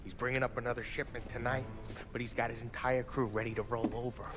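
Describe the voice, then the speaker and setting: An actor playing a brash, talkative gangster who spins stories and cracks wise in a game cutscene. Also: male voice, mid-range voice, flat delivery, fast, loud music behind the voice, lightly acted. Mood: scheming. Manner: boastful storyteller, wisecracking. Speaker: mob crew member. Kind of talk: 1930s gangster game dialogue.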